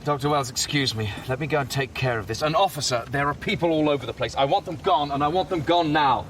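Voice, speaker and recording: A man's voice: a little high, fast talker, a bit bright, a little hiss or hum, close to an everyday voice. A man acting as a courteous, commanding army captain in an audio drama.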